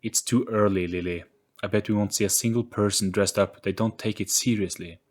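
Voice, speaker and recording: A man's voice: lowish voice, steady tone, fairly quick, natural voice. A man voicing a skeptical, grumpy young girl in an audio story, with a dismissive manner.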